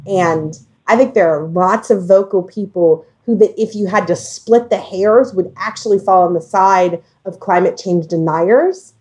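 Woman just chatting, kinda halting, works out her point as she goes, mid pitch. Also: a little mellow. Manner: halting, thinking aloud, self-correcting. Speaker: podcast discussion guest. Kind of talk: casual conversational discussion.

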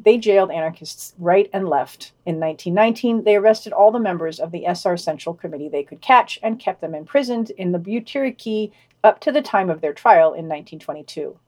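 A woman's voice: mid-range voice, a little mellow. A woman hosting a podcast, reading scripted news summaries and quotations with dry, wry commentary.

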